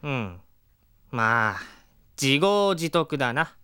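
A woman's voice: a bass voice, big pitch swings, slow, fairly bright tone, smooth, dry recording, studio quality, exaggerated voice. A woman voicing a wry, long-suffering boyish sidekick in a Japanese comedy audio drama, blunt and teasing. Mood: dry, resigned.

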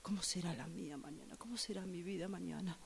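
A woman's voice: on the low side, fast talker, creaky, a little hiss or hum. A woman with a Rioplatense Spanish accent playing a judgmental commuter in a radio drama monologue, musing dramatically as she waits. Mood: muttering, scornful. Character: judgmental woman waiting for a train, brooding over passers-by.

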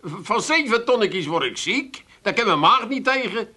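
An actor playing a provoking, slow-witted barfly brother-in-law with an Amsterdam accent in a comic radio drama. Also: male voice, sits high, very animated, pushed and bright, full character voice. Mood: needling. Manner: provoking, droll, literal-minded. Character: needling, dim, gullible male brother-in-law barfly.

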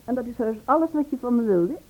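A woman's voice: fairly high pitch, animated, fast talker. An actress playing a hesitant, loyal woman who is wary of trouble, understated, in a radio play. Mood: reserved, hesitant. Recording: dull, band-limited old radio play recording.

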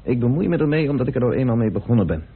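An actor playing a troubled, stubborn amateur detective with an earnest, brooding manner in an old Dutch radio drama. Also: male voice, medium pitch, expressive, rapid-fire, breathy edge. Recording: noisy muffled tinny old radio recording.

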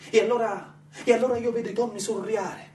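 An actor playing an entranced storyteller in a poetic theatrical monologue, lyrical and full of incantatory repetition. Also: male voice, high voice, fairly even intonation, a little fast, a little mellow, a little hiss or hum, close to an everyday voice. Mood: ecstatic. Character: rapt storyteller visitor, dreamily entranced by swimming tuna. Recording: echoey room, distant mic, music behind. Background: clear music.

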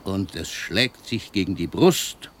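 An actor playing an aging, famous poet in a German radio drama, a gruff but patient mentor with a measured, declamatory delivery. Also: male voice, low voice, expressive, fairly bright tone, full character voice. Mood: solemn recitation. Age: older.

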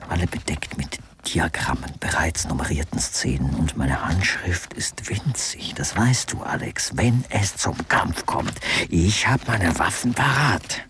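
A man's voice: extremely low pitch, noisy background, full character voice. A man acting an embittered, scheming writer in a radio play monologue, muttering sardonically and sometimes whispering. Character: embittered, scheming writer brooding over his grievances.

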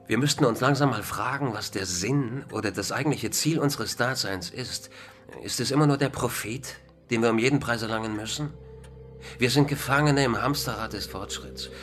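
An actor playing a resigned, pessimistic philosopher in a radio drama interview, lecturing in a ponderous intellectual manner. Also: male voice, mid-range voice, normal speed, a bit bright, faint music behind, close to an everyday voice. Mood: gloomy, lecturing. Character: gloomy, resigned male philosopher and culture critic.

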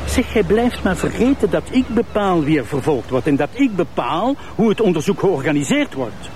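A man's voice: sits high, big pitch swings, normal speed, darkish tone, a little hiss or hum. A man with a Flemish accent playing an authoritative, exasperated public prosecutor in a naturalistic TV crime drama. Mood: firm, exasperated.

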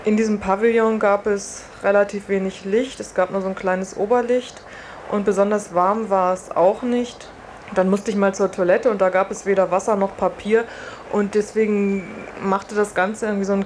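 A woman's voice: medium pitch, fairly even intonation, normal speed, darkish tone. A woman reporter giving an informal first-person account of her own experiences. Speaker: reporter and test participant. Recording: faint background ambience and noise.

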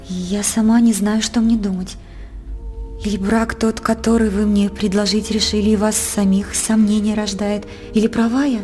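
A woman acting an innocent young princess in a radio verse drama. Her voice is fairly high, and she declaims with formal, modest earnestness. Faint music plays behind her. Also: dark tone, close to an everyday voice.

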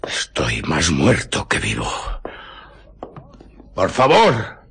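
Radio play role: weary, curt, mysterious stranger, halting and commanding, low-pitched, unhurried delivery. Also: male voice, wildly swooping pitch, vocal fry, noisy background, heavily acted. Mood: exhausted, pleading. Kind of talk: radio drama acting.

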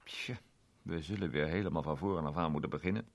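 Man doing a gruff cop inspector, lots of ehs, deep voice. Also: fast talker, full character voice. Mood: rueful. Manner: blunt, hesitant, with many fillers. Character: gruff, blunt male police inspector, middle-aged.

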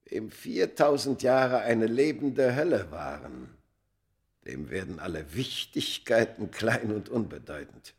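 An actor playing a tormented, immortal Egyptian under a curse in a German radio play, solemn and theatrical, moving from hushed words to fierce outbursts. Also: male voice, on the low side, animated, slow pace. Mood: fervent, solemn. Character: tormented, cursed ancient Egyptian man, four thousand years old.

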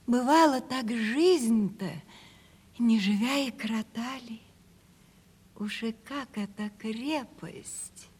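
An actress playing a coy, sentimental provincial housekeeper in a radio play, with folksy, lamenting speech. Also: female voice, on the high side, slightly rough, full character voice. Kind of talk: theatrical radio play acting. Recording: reverberant 1970s radio play studio recording.

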